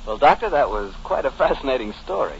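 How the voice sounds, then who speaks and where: An American man works as a 1940s radio announcer and pitchman. His folksy, polished delivery is lively in pitch around an average male register. The recording is dull and a little noisy. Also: quick pace, vocal fry.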